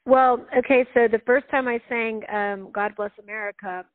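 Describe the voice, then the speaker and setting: Female singer, guest, low voice, chatty with fillers and laughs; phone-quality audio. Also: fairly even intonation, normal speed. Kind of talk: casual interview chat. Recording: phone-like narrow sound.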